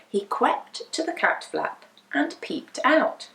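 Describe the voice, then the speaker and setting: Lady reading a kids story, super animated, kinda slow n dramatic, echoey room. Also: high-pitched, vocal fry. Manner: dramatic, deliberate emphasis. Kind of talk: animated children's picture-book reading. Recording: roomy indoor echo.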